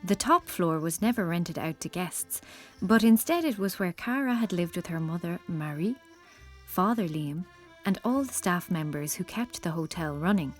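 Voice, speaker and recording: A woman's voice: lowish voice, lively intonation, normal speed, soft background music. A woman narrator reading a scripted children's story.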